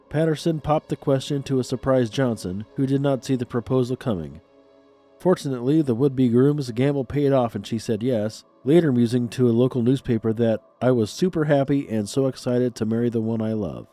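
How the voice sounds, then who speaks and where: A man's voice: medium pitch, a little fast, mellow, faint music behind. A congested, stuffed-up man hosting a paranormal podcast, reading scripted narration with playfully spooky, theatrical patter. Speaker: podcast host.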